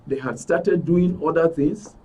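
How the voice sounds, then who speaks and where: A middle-aged man with a Nigerian accent speaks as an interview guest, his voice husky and fairly high. He sits some distance from the microphone. He lays out his account earnestly, leaning on repeated phrases. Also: unhurried, dark tone. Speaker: studio guest, aggrieved club member. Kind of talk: spoken account in a broadcast interview. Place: radio and TV studio.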